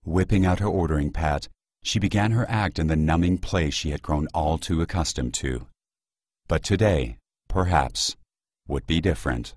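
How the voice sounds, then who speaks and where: A man reading scripted, literary narration for an audio play in a measured way. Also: a bass voice, slightly rough, no room sound, studio quality.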